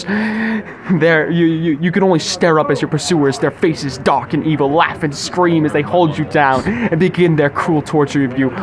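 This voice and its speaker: Young male podcast host, higher-pitched voice, theatrical mock-formal monologue delivery, busy restaurant chatter behind. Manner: theatrical, grandiose, wordy. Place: recorded inside a sushi restaurant.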